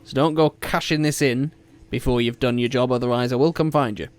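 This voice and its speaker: A man voicing a gruff, dismissive male city guard who barks short orders. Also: medium pitch, steady tone, brisk, close to an everyday voice. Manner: curt, dismissive, bossy.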